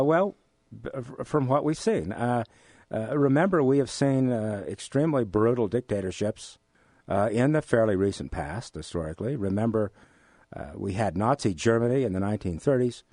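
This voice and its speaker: An American man of mature years speaking as an economics professor and interview guest, explaining things in a deliberate, emphatic way. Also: fairly low pitch, a little mellow, no echo, clean studio sound. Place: radio studio.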